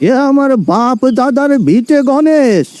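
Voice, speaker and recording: Man playing a very high-voiced, plaintive old villager. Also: lively intonation, slow pace, a little mellow, full character voice. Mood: anguished, defiant. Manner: plaintive, defiant. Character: frail yet firm old male villager, aggrieved. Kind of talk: cartoon story acting.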